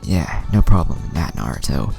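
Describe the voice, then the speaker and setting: A man's voice: rumbling low, sing-song, moderate pace, vocal fry, a little mellow, faint music behind, full character voice. A man voicing a distraught, devoted male ninja teacher, urgent and pleading, close to tears, in an anime fan story. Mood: choked up.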